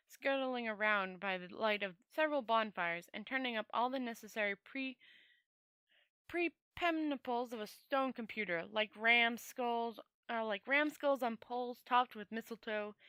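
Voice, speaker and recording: A woman reads a fantasy novel aloud in a bright, fairly high voice. She is an expressive storyteller who often trips on words and goes back over phrases, recorded close and dry. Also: clear, resonant tone, no echo.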